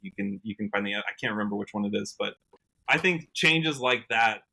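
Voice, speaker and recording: A man's voice: lowish voice, animated, a little fast, bright, forceful tone, breathy edge. An American man chatting casually as a guest on a podcast, earnest and enthusiastic in the way he talks. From United States, Washington state. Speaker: podcast guest, country musician.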